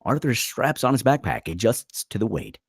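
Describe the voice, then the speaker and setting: A man's voice: mid-range voice, sing-song, moderate pace, breathy edge, no echo, studio quality. A man narrating screenplay stage directions dramatically at a table read.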